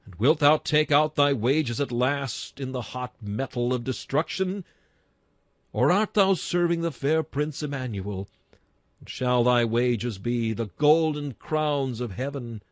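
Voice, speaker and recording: A man delivers an archaic sermon as a dramatic reading. His voice sits at a medium pitch, and his manner is solemn and exhortatory, full of rhetorical weight. The sound is dry and free of room echo. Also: a little slow. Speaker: narrator reading a historical sermon. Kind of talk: dramatic read-aloud sermon in archaic English.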